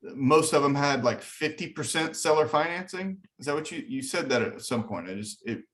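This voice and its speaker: A man's voice: on the high side, animated, moderate pace, fairly bright tone. A man in the audience of an online call, asking the host a question in a casual, conversational style.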